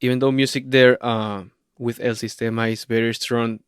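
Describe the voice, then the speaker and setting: Brief: Venezuelan-accented male podcast host, smooth and resonant, encouraging, dry close mic. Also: mid-range voice, level tone, dry recording. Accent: Venezuelan Spanish. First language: Spanish. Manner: warm, affirming, measured questions. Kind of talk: interview podcast.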